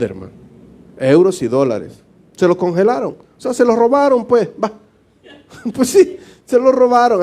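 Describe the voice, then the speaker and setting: A man's voice: fairly high pitch, darkish tone. A man from El Salvador with a Salvadoran accent, giving an informal, conversational sermon as a pastor in a colloquial, joking manner. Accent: Salvadoran Spanish. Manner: colloquial and joking, often chuckles and acts out short dialogues. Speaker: pastor giving a Bible study.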